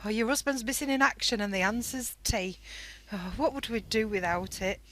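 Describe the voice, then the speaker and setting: Wartime British mum, played by a woman: slightly high voice, earnest, motherly, with a dry wit. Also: normal speed, a bit bright, natural voice. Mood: wry. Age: adult. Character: anxious, wry young mother in wartime Britain. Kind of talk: radio play acting.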